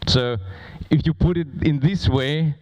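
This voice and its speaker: A man who is not a native English speaker moderating a conference on stage, talking informally and playfully with a loud, projecting voice. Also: on the high side, unhurried, poor audio. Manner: playful, bantering with audience. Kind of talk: informal live stage presentation. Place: conference stage before a large audience.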